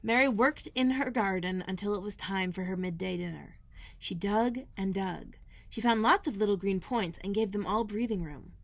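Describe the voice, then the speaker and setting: A woman narrating a children's storybook as a read-aloud host, in a measured, expressive storytelling manner. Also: medium pitch, creaky. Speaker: elementary school librarian, host.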